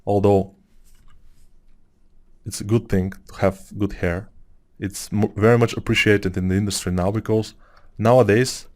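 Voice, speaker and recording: A man from Bulgaria, around his thirties, with a Bulgarian accent and a slightly low voice. He talks a 3D sculpting tutorial through in a loose, musing way, drifting off topic and filling pauses as he works. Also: fairly even intonation. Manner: rambling, musing, with many fillers. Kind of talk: casual tutorial talk over screen work.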